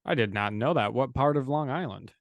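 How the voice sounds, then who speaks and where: A man co-hosting a podcast with a slightly rough voice, chatting in a playful, joking way. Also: medium pitch, a little fast, no room sound. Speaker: podcast co-host. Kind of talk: casual podcast chat.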